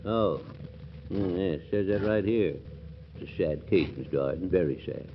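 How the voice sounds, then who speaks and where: An actor playing a crotchety, absent-minded old judge in a 1940s radio comedy, testy and muttering, on a muffled, noisy recording. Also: male voice, sits low, normal speed. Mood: muttering, musing. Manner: testy, muttering, stumbling over long words.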